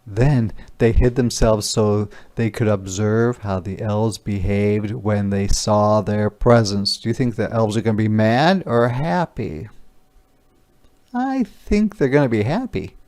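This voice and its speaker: A man reading a picture book aloud as a teacher would to young children, expressive, explaining and questioning. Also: on the low side, unhurried.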